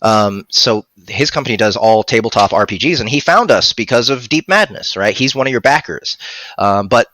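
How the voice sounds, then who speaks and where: A man's voice: lowish voice, lively intonation, moderate pace, bright, forceful tone, dry recording, poor audio. A man in his thirties chatting casually on a live stream as a guest voice actor, talking into a headset mic at home. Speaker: guest, audiobook narrator and voice actor. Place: home room, on a video call.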